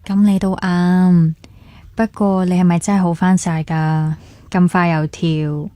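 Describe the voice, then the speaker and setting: A woman's voice: lowish voice, flat delivery, a little slow, dark tone, dry recording, close to an everyday voice. A woman with a Hong Kong Cantonese accent acting a chatty, carefree young friend in a radio drama, talking in a casual, familiar way. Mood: teasing, concerned. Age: young adult.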